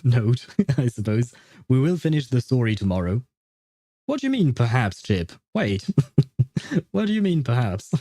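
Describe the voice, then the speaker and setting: A man's voice: medium pitch, mellow, dry recording. A man hosting a livestream, chatting casually with viewers in a rambling, playfully teasing way. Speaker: livestream host. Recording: dry voice over faint background music.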